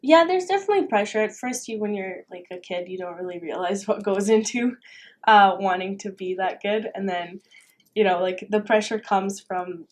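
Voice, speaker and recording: A woman's voice: medium pitch, normal speed. Chatty young woman, tennis player guest. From Romania. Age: young adult. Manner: chatty, laughs often, many fillers. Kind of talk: casual interview.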